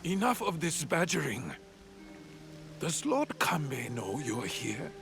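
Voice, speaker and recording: Slow, gravelly scheming feudal lord. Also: male voice, a little high, wildly swooping pitch, heavily acted. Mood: curt, imperious. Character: scheming feudal Japanese lord, stern father with veiled menace. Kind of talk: cinematic video game acting. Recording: music behind the voice. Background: background music.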